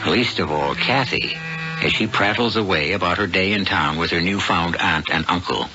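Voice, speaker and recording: An actor as the ominous, theatrical host narrating an American radio mystery drama. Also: male voice, low voice, moderate pace, background noise, close to an everyday voice. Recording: dull vintage radio recording, music.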